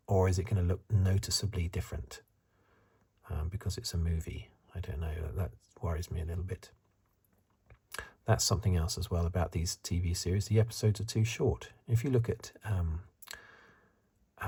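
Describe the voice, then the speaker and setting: Soft, breathy, low-voiced ASMR man. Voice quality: soft, breathy, husky, partly whispered. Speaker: host of an ASMR review channel. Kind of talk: soft-spoken ASMR commentary with whispering.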